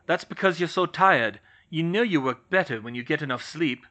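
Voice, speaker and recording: Brief: narrator reading a caring young wizard, soft and calm, with a lively pitch. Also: normal speed. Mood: concerned. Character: young wizard, worried and gentle. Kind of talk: audiobook reading of fan fiction. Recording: slightly muffled recording.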